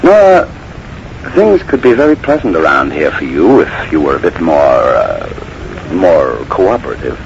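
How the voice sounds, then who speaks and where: An actor playing a menacing, short-tempered, scheming husband and playwright in a 1940s radio mystery. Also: male voice, a bass voice, sing-song, a little slow, lo-fi sound, heavily acted. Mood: smooth, menacing. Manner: smooth veiled threats swinging to irritated insistence. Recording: noisy vintage 1940s radio broadcast.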